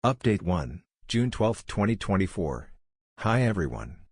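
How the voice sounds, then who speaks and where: A man with a very deep voice reads forum stories and their comments aloud. His delivery stays steady and even throughout, and the sound is dry and close, with no room echo. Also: a little slow, breathy edge. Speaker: narrator reading forum posts. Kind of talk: scripted narration.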